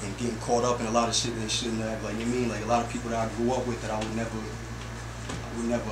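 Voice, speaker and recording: A young man, a rapper, chatting casually as an interview guest in a slangy, rambling way full of repeated filler phrases. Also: medium pitch. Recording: echoey room with background noise.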